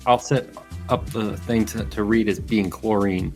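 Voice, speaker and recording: A man's voice: fairly low pitch, fairly even intonation, measured pace, darkish tone, soft background music. A man playing a tabletop game, thinking aloud in casual table talk.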